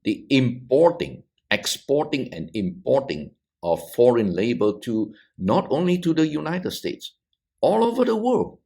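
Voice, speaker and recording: A middle-aged man from Malaysia, a theologian on a discussion podcast, talking in a halting way with frequent restarts and repeated words. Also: medium pitch, very animated, vocal fry, warm tone. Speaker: theologian panelist. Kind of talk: thoughtful discussion on a video podcast.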